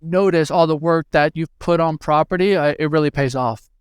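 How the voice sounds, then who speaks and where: Brief: man from Venezuela, thirties, smooth voice, slightly higher register, hesitant chatty guest. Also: steady tone, normal speed, dry recording, studio quality. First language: Spanish. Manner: hesitant, many fillers and restarts. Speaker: guest, hospitality tech executive. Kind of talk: conversational interview answers.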